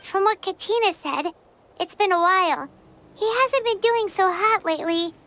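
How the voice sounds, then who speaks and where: Squeaky little fairy companion. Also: female voice, moderate pace, bright, forceful tone. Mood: bright, relieved. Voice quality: squeaky, childlike. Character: tiny excitable childlike fairy companion. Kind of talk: English game dub acting.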